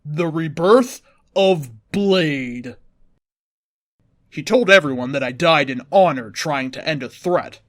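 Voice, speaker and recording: A man with a slightly high voice reads a story aloud in a dramatic narrator's style. His delivery is deliberate and theatrical, and faint music plays behind him. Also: expressive, a bit bright. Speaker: host reading fan fiction aloud. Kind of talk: dramatic scripted story reading.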